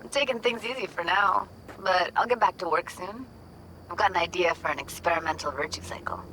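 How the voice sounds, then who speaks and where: A woman's voice: on the low side, a little fast, pushed and bright, slight background noise, poor audio. A woman playing a jaded, weary young woman in a video game, tough but tender, talking in casual, clipped slang. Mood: wry, casual. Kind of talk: naturalistic video game voice acting.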